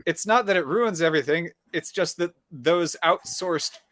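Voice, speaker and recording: A man's voice: fairly high pitch, moderate pace, no echo. A young adult man co-hosting a podcast, telling a frustrated story in casual chat, acting out the people he quotes and swearing freely. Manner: animated storyteller who acts out the people he quotes; frequent swearing, wry and exasperated. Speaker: podcast co-host.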